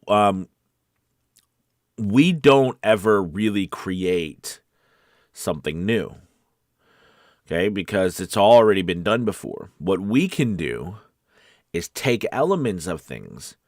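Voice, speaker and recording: A man hosting a casual livestream coaching show, talking in an animated, emphatic, conversational way. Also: deep voice, takes it slowly, no echo, studio quality. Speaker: host of a voice-acting coaching show.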